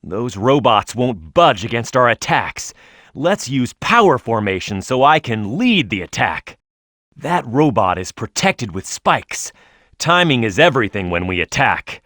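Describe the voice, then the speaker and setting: A man's voice: medium pitch, big pitch swings, a little slow, fairly bright tone, dry recording, clean studio sound. A man voicing a tough, hot-headed echidna brawler in a video game, confident and brash, with punchy, emphatic delivery. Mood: instructive, firm. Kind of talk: video game voice acting, tutorial hints and battle shouts.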